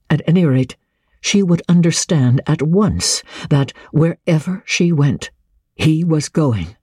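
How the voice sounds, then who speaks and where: A woman narrator giving a measured, expressive dramatic reading of literary fiction, with a husky voice. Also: on the low side, no echo, clean studio sound. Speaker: audiobook narrator.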